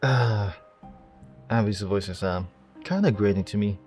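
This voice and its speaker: A man's voice: on the low side, measured pace, a little mellow. A man giving casual playthrough commentary as a game streamer, talking in a hesitant, rambling way. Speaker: gaming streamer. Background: game music and dialogue.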